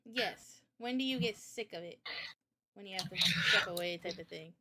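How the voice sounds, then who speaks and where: A woman's voice: mid-range voice, normal speed, pushed and bright. A woman in a casual interview chat about games, speaking informally and with opinions as the host. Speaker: host and game developer.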